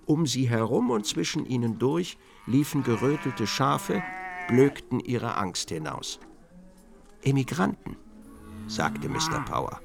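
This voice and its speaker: A man with a creaky voice narrating a literary radio drama expressively, acting out the quoted speech. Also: mid-range voice, very animated, unhurried.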